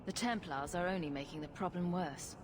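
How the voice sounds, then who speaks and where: Woman acting wry, low-voiced female rogue. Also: a little fast, background noise, lightly acted. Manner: dry, sarcastic, direct. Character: wry, sarcastic adult female rogue hero. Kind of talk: fantasy video game acting.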